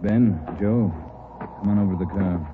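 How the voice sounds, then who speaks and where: An actor with an American accent playing a gruff police chief giving brisk, authoritative orders in a 1940s radio drama. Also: male voice, lowish voice, steady tone, lightly acted. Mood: brisk, grim. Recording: noisy, muffled 1940s radio recording.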